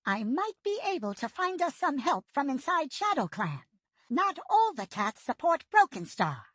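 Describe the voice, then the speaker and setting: A woman voicing a stern old she-cat of a warrior clan, reading her lines gruffly and slowly in a storybook reading. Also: high voice, sing-song, a bit bright, no room sound, studio quality, voice pushed up. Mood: urgent. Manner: gruff, slow and commanding.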